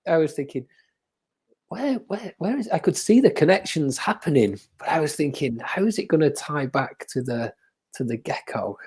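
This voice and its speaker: Soft-spoken husky man reflecting. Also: on the high side, animated, normal speed. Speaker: educator and co-host. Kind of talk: casual reflective chat.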